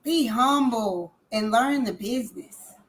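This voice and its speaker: A woman singer talks casually in an interview. She is emphatic and animated and repeats phrases for effect. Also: fairly high pitch, slow pace, rough recording. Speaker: singer guest.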